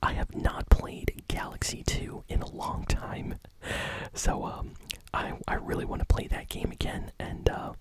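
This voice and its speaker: A woman hosting gaming news in ASMR style, speaking in a soft, soothing, breathy whisper. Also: measured pace.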